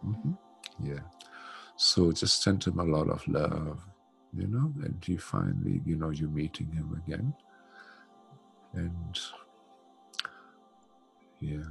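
Older male healer, deep gravelly voice, rambling fillers, headset call. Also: slow pace, long pauses, soft background music. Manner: chatty, warm-toned, many "you know" fillers. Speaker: spiritual healer. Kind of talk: guided spiritual session. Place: home room. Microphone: headset on video call.

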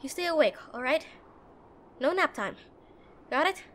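A woman playing a brusque, protective and frightened tsundere girlfriend in a roleplay audio drama, speaking urgently and haltingly straight to the listener. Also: high voice, expressive, a bit bright, a little hiss or hum. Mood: urgent, pleading. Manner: bossy, urgent, emotional, halting.

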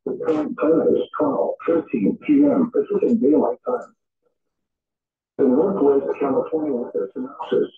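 A man's voice: very high voice, wildly swooping pitch, moderate pace, creaky. A synthetic man's voice reading an automated weather forecast as a radio announcer in clipped, formulaic phrases, played over a radio. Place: radio speaker playing in a home room. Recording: dull radio playback in echoey room.

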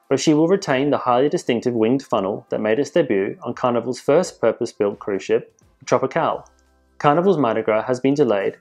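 A man presenting cruise industry news in measured, scripted voice-over narration. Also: medium pitch, darkish tone. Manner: measured, informative delivery. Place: voice-over, not in pictured places.

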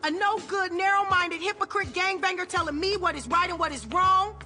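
A woman's voice: extremely high pitch, a little fast. A woman playing a defiant, sassy young sister in a video game cutscene, combative and indignant.

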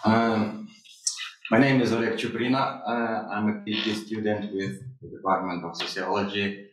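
A man's voice: on the low side, little pitch movement, normal speed. A man from Ukraine asking a panel a polite, hesitant question from the audience in a seminar room. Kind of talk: formal question. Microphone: far room mic. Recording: echoey room from far off.

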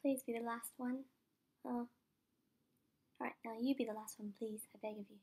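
A young woman with a cold talks through her gameplay, her high voice husky and croaky. Her tone is mellow and a little dark, and she chats casually, mocks herself and sometimes drops to a whisper. She speaks into a desk microphone. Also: fairly even intonation, normal speed. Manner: chatty, self-mocking, drops into whispers. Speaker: gaming channel host. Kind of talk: casual game commentary.

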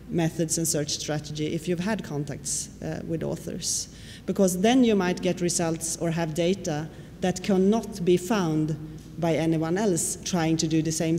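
A woman's voice: fairly low pitch, a little slow, few pauses, dark tone. Woman, Swedish native, conference lecturer, explanatory, fillers, long hall reverb. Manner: explanatory, with frequent fillers and questions to the audience. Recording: reverberant hall with faint noise.

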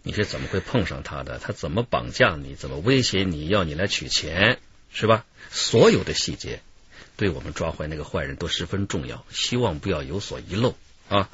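Male detective: deep, patient, coaxing, methodical radio drama questioning, muffled sound. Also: animated, a little fast, a bit bright, husky, full character voice. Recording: muffled, faint music behind.

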